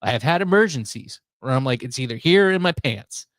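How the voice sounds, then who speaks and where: A man's voice: medium pitch, expressive, a little fast, a bit bright, no room sound. A man hosting a casual chat, rambling and joking crudely with an incredulous manner. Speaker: radio show host.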